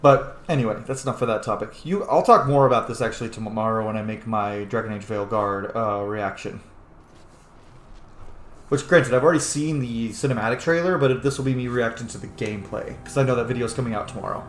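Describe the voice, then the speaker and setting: A man's voice: mid-range voice, a little fast. A man giving casual gaming commentary in an opinionated, rambling way with plenty of swearing. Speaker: video game streamer. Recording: roomy echo with faint noise.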